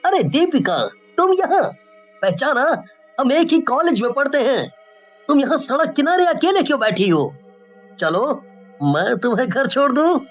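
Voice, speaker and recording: Woman voicing a high-pitched young college lad. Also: very animated, measured pace, soft background music. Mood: friendly. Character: young male college student, friendly, curious.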